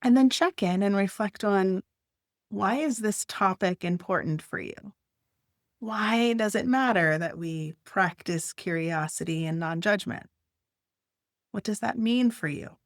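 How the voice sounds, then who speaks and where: A woman leading a guided meditation, speaking gently in short, unhurried phrases with long pauses. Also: mid-range voice, no echo, studio quality.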